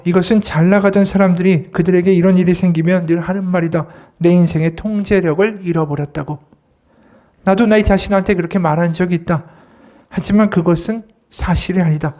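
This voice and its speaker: A man's voice: high voice, a little mellow. A man, an associate pastor, giving a Sunday sermon, explaining scripture and asking the congregation rhetorical questions.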